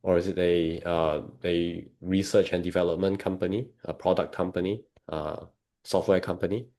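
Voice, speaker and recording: Man, deep low voice, coach as interview guest, measured, explanatory, conversational. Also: fairly even intonation, mellow. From Singapore. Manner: measured, explanatory, checks with "right?".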